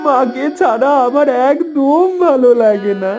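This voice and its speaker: A woman's voice: sits high, lively intonation, measured pace, mellow. A woman voicing a grieving young village boy who has lost his mother, speaking in a tearful, pleading way. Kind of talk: Bengali cartoon dubbing. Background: background music.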